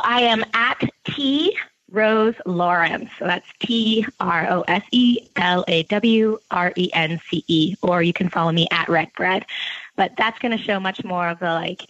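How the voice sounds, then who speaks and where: A woman's voice: on the low side, fairly bright tone, no room sound, lo-fi sound. A woman pastry chef in casual podcast chat, talking freely and laughing often. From Ecuador and Virginia, US. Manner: chatty, laughs often, fillers like "like".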